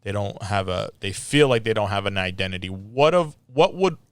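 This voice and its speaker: A man's voice: fairly low pitch, expressive, normal speed, clean tone, no room sound. A man hosting a podcast, interviewing casually with informal, probing questions. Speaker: podcast host.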